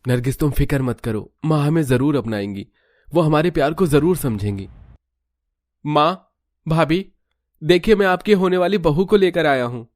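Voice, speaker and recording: A man's voice: a little high, lively intonation, moderate pace, darkish tone, no room sound, clean studio sound, natural voice. A man voicing a devoted, earnest young husband and son in a cartoon family story. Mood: reassuring.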